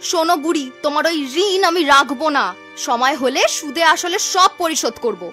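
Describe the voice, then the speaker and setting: A woman acts out a spoiled, ambitious young granddaughter in a cartoon folk tale. She has a piercing, very high voice and a sneering, sharp tongue. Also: fairly quick, soft background music. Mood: spiteful. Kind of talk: animated folk tale acting.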